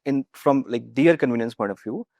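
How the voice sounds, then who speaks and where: A man's voice: mid-range voice, normal speed, a little mellow, no echo. A young man with an Indian accent answering as a founder in a business interview, often tagging statements with a checking question. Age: young adult. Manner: repeatedly tags statements with "right?". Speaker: startup founder, guest.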